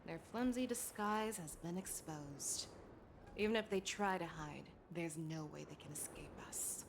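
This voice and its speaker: Game role: cool, commanding woman general, lowish voice, deliberate authority, clear ambient noise underneath. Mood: cool, assured. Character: stern, commanding female general, cool and resolute. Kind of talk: video game voice acting.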